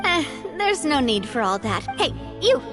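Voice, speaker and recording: A woman's voice: sits high, sing-song, unhurried. An actress playing a cheerful young woman who leads her group, playful and self-deprecating, in a game cutscene. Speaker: group leader. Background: soft game music.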